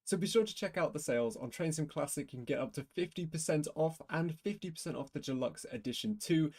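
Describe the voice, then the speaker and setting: A man presenting a brisk, scripted promotional announcement for his channel. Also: a little high, a little mellow.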